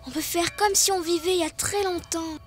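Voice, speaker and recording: A woman voicing a bright, curious little girl in an educational cartoon, playful and teasing. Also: extremely high pitch, steady tone, fairly quick, soft background music. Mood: eager, chatty. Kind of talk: French educational cartoon dialogue.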